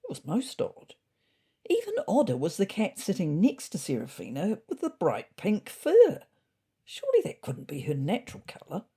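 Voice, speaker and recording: A woman playing a wry, observant cat narrator, telling a scripted comic diary story in a husky, expressive voice. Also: fairly low pitch, moderate pace, heavily acted. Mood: wry, curious.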